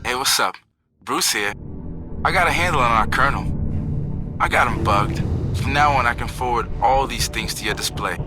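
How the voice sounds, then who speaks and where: An actor playing a cocky, cynical, foul-mouthed hacker in a video game, brash, chatty and sardonic. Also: male voice, mid-range voice, moderate pace, pauses often, over music, natural voice. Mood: smug, amused. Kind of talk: dramatic game cutscene dialogue.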